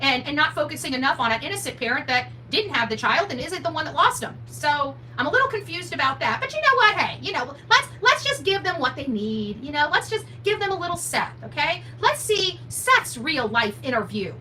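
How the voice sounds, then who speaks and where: A woman delivers combative livestream commentary in a bright, sharp, high voice. She is emphatic and blunt, throwing rhetorical questions at her audience with very animated intonation. The mic sounds distant, with a faint hum behind her. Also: a little fast, few pauses. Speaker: livestream host, advocate commentator. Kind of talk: fired-up livestream commentary addressed to viewers.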